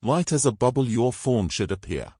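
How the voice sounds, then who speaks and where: A bossy, roguish treasure-hungry man from a fantasy audio tale, acted by a man. He speaks in brisk, old-fashioned commands with a very animated delivery, recorded dry. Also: mid-range voice, breathy edge, no room sound. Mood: impatient, commanding. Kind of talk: fantasy audio story character acting.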